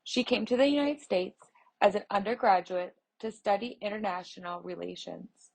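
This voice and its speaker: A woman voicing a news feature aloud, reading in a steady, measured way with the odd small restart. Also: mid-range voice, vocal fry. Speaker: voice interpreter reading an article.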